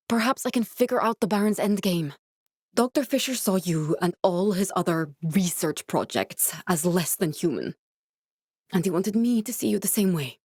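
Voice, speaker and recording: A woman's voice: mid-range voice, darkish tone, husky, no room sound, clean studio sound. An earnest, guarded woman scientist. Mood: calm, instructive. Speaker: video game voice actress. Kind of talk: video game dialogue.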